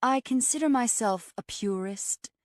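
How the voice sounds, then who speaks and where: A woman voicing a haughty, earnest and humorless raw-vegan woman in an audiobook, with precious, drawn-out phrasing. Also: fairly low pitch, darkish tone, dry recording, clean studio sound.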